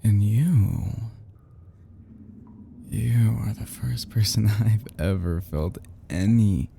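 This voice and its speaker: A man playing an obsessive, lovesick kidnapper in an intimate audio roleplay, desperate and unstable, speaking haltingly with stammers and drops toward a whisper. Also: fairly low pitch, mellow, a little hiss or hum. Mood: unhinged, infatuated. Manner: halting, stammering, breathy nervous chuckles, often dropping to whispers.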